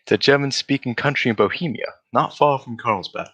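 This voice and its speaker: A man playing a brisk, self-assured gentleman detective in a 1940s-style radio drama, speaking precisely with a lightly condescending, lecturing air. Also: mid-range voice, slightly rough.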